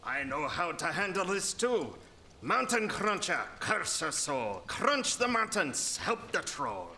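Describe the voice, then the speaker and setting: A man's voice: sits high, very animated, slow pace, bright, forceful tone, exaggerated voice. A man playing a grumpy, scheming troll who chants curses in a radio play. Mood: commanding, cursing.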